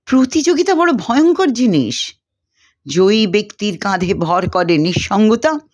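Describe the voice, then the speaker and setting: Woman voicing a theatrical brooding young man. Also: medium pitch. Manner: dramatic, declamatory. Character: brooding young male student reciting poetry, theatrical, despairing about competition.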